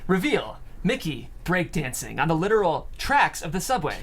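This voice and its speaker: A narrator reading stage directions aloud at a comedy table read in an amused, animated way. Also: mid-range voice, moderate pace, a little hiss or hum.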